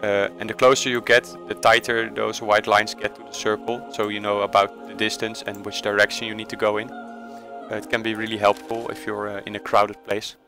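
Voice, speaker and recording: A man's voice: fairly low pitch, completely flat delivery, moderate pace, hardly any pauses, music in the background. A man from the Netherlands with a Dutch accent, casually talking through a game tutorial with many hesitant fillers and self-corrections. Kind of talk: casual screen-recorded tutorial voice-over.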